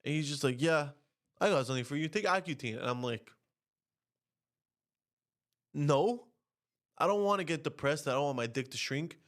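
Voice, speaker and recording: A young man with a stuffy, nasal voice talks straight to camera in a rambling podcast monologue. He swears constantly and his pitch climbs sharply when he gets worked up. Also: animated, moderate pace, long pauses, a bit bright. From Canada. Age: young adult. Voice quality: nasal, congested. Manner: profane, rants with rising pitch. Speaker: podcast host. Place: home, on camera.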